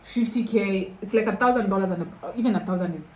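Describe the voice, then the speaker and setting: A woman's voice: medium pitch, fast talker, a loud crowd. A woman hosting a casual sit-down chat, explaining things step by step and saying "like" often. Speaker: channel host. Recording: roomy echo.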